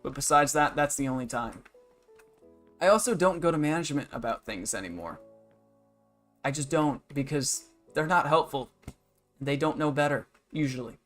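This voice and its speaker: A man's voice: mid-range voice, fairly bright tone. Young man ranting casually on stream. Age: 20s. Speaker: game livestreamer. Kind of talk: casual rambling stream chat, venting about work.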